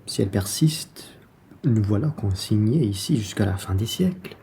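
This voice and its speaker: A man's voice: lowish voice, lively intonation, normal speed, warm tone, a little hiss or hum. A man playing a crafty, self-serving merchant husband in a radio play, familiar and cajoling in manner.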